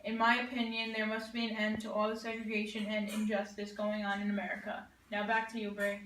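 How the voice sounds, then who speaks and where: A woman reads a news script very quickly as a student reporter, with little movement in pitch. She sounds set back from the microphone in an echoing room. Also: completely flat delivery, noisy background. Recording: echoey room, distant from microphone.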